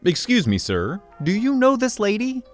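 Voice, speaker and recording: A man's voice: high voice, huge pitch swings, normal speed, soft background music, voice pushed up. A man voicing a store manager who speaks formally and asks questions politely.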